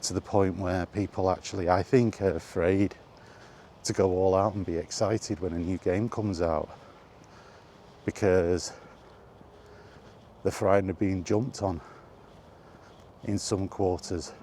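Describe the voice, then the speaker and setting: Man, lowish pitch, very mellow tone, weary and grumbling, freewheeling vlog monologue, background ambience. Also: steady tone, a little slow, pauses often, slight background noise. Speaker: vlogger. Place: walking while talking, ambient noise behind.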